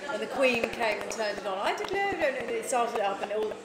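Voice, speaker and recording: A woman's voice: fairly high pitch, big pitch swings, normal speed. A woman telling a short anecdote in casual group chat. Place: busy pub, recorded indoors. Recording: echoey room, loud crowd noise.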